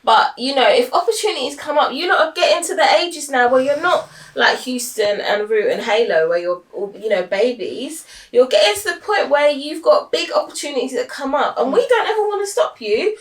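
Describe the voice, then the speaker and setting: A woman's voice: high-pitched, expressive, fairly quick. A woman, a mother chatting casually to the camera as a family vlogger, with her talk full of "obviously" and "do you know what I mean". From United Kingdom. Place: family home, indoors. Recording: roomy echo, voice heard from a distance.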